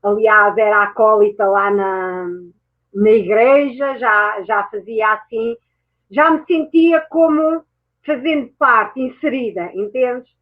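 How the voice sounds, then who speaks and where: A woman's voice: a little high, slow. A woman who grew up in France, a guest mentor telling her own story reflectively, correcting herself as she goes. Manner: reflective, speaks with many self-corrections. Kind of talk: personal storytelling in a chat. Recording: tinny phone-like audio.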